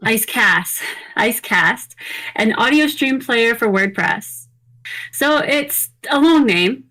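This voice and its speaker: A woman's voice: mid-range voice, low-quality recording. A woman co-hosting a podcast, explaining and reviewing things in an informal, easygoing way. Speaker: podcast co-host. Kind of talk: casual podcast talk, plugin reviews.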